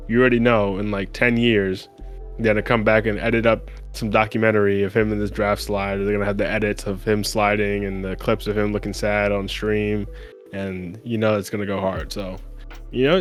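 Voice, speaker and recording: Guy w/ lowish voice doing casual sports podcast commentary, explains stuff, faint music behind. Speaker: podcast host.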